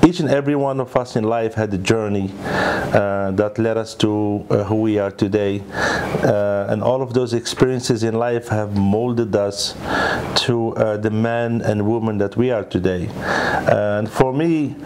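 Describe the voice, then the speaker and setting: A middle-aged man from Algeria, a business guest, telling his life story slowly with many filler pauses. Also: lowish voice, steady tone, background noise. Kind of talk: personal story in an interview. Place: small studio with red backdrop.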